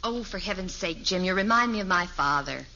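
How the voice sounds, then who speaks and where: An actress playing a brisk, exasperated middle-aged American wife and mother, practical and impatient, in 1950s radio sitcom style. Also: fairly low pitch, expressive. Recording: muffled, noisy old radio recording.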